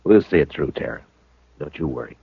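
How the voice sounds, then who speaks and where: A deep, steady frontier marshal. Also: male voice, a little fast, vocal fry, husky, no echo, heavily acted. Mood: calm. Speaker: narrator and lead. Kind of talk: 1950s radio acting and narration. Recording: muffled vintage radio broadcast.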